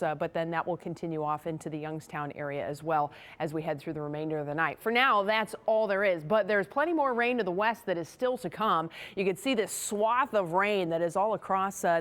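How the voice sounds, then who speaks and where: An American woman giving a conversational TV weather forecast in a chatty, folksy manner. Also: lowish voice.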